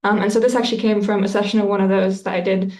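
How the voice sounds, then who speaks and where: A woman's voice: medium pitch, level tone, very fast, darkish tone, roomy sound. A woman author answering interview questions in a casual, thoughtful way, with lots of fillers and restarts.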